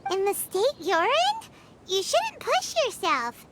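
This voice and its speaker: A woman voicing a small, chatty, childlike floating fairy companion in a video game, squeaky and exclamatory. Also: wildly swooping pitch, takes it slowly, noisy background, heavily acted. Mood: concerned. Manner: refers to self in third person, exclamatory. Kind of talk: video game character dialogue.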